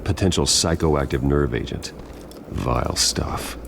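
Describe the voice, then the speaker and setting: Grim vigilante detective, video game: rock-bottom pitch, heavy rasping creak, controlled, clipped delivery, noisy surroundings. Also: male voice, measured pace, heavily acted. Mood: grim, analytical. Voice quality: gravelly. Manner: terse, controlled. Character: grim, stoic adult vigilante detective man, terse and commanding. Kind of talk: cinematic video game acting. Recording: heavy ambient noise behind voice.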